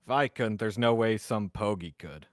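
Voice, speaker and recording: A man's voice: lowish voice, moderate pace, no echo, clean studio sound. A man chatting casually as a player in a tabletop roleplaying game.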